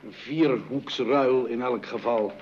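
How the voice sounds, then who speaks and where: A man's voice: medium pitch, slow pace, a little mellow, natural voice. An actor playing a fussy, flustered middle-aged father in an old-fashioned radio comedy, with a blustering, repetitive way of talking. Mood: busy. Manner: blustering, exclamatory, repeating himself. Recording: dull, noisy old radio recording.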